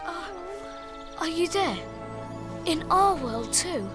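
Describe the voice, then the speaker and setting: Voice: very high-pitched, animated, takes it slowly, over music, exaggerated voice. A performer playing an earnest, awkward schoolboy in a radio drama, hesitant, stammering and easily astonished.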